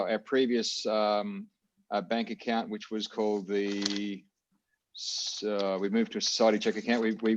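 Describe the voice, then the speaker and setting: Australian man, low flat voice, halting treasurer's report, dull lo-fi call audio. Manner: halting, many fillers and restarts. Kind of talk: online meeting report.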